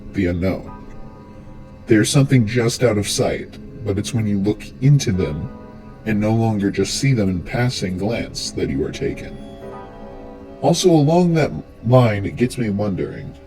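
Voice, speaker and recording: A man's voice: lowish voice, animated, a little slow, darkish tone. A man giving scripted commentary as the theatrical host and storyteller of a horror explainer. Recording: music under the voice. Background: music.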